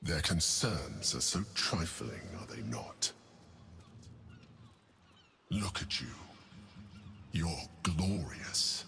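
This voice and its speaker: An actor playing a persuasive, grandiose mind flayer in a fantasy game, speaking in a measured, condescending, coaxing way. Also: male voice, extremely low pitch, sing-song, vocal fry, sharp tone, heavily acted. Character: smooth-talking male mind flayer schemer, grandiose and persuasive. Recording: long cavernous reverb, faint background noise.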